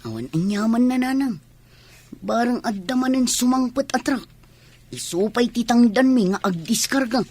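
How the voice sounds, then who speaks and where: A woman's voice: on the high side, animated, moderate pace, darkish tone, slightly rough. A woman with an Ilocano accent playing a dutiful, caring grown child in a radio drama. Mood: caring, reassuring.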